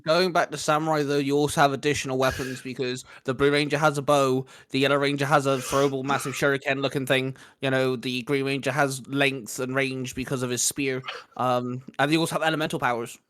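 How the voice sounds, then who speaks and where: A man's voice: mid-range voice, little pitch movement, fairly quick, few pauses, fairly bright tone, smooth, dry recording, clean studio sound. A man co-hosting a casual podcast, steering the discussion and reading out a list. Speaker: co-host leading the questions.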